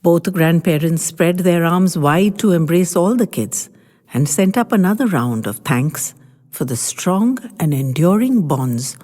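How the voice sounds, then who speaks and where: Expressive woman storyteller, low voice. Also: unhurried, in a large hall. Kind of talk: scripted story narration.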